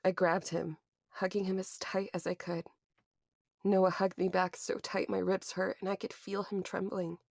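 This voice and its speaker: A woman playing a weary but defiant young survivor in a horror audio drama, with measured, raw delivery. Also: medium pitch, level tone, gravelly, a little mellow, no echo, studio quality. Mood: grim resolve. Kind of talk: horror drama acting and first-person narration.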